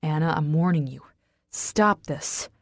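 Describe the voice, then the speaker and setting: A woman voicing a brooding, possessive, hot-tempered man with a rasp, as a character in a romance audiobook. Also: low voice, unhurried, no room sound, studio quality. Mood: warning. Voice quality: rasping.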